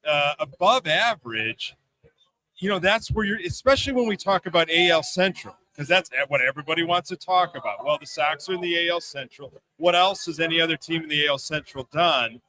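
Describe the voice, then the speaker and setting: Bright, forceful man talking sports. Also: a little high, brisk, rough recording. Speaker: sports podcast guest. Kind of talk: casual sports commentary.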